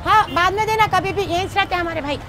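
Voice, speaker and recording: High-voiced brash Hyderabadi woman. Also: fast, crowd noise. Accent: Hyderabadi Hindi-Urdu. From Hyderabad, India. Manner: brash, teasing, chatty. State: sore throat. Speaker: comedy vlogger. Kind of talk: street prank vlog chat. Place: busy city roadside stall, outdoors. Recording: street noise behind.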